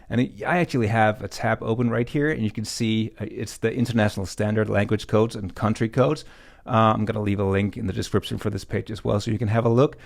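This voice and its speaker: Low-voiced man teaching casually. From Denmark. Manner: informal, explanatory. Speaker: web development tutorial host. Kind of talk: casual screen-recorded tutorial.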